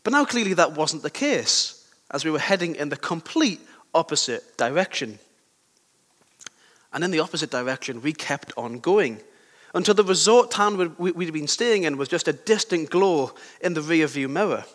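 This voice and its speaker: A young man with a sore throat and a slightly croaky voice, giving a conversational sermon with personal stories and questions to his listeners. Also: a little high, lively intonation, moderate pace, a bit bright. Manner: conversational, self-deprecating, asks the listeners questions. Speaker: preacher. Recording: long hall reverb.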